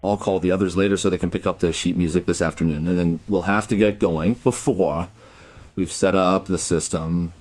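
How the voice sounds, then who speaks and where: A man playing a busy musician husband in a radio drama, husky and businesslike, absorbed in his work. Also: sits low, steady tone, normal speed, a little mellow, no echo. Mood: busy, preoccupied.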